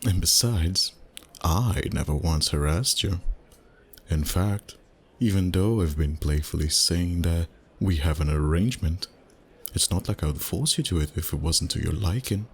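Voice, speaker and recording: A man voicing a dominant, flirty, suave vampire boss in an intimate roleplay ASMR style, teasing and unhurried, with courtly phrasing and pet names. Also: sits low, breathy edge, heavily acted.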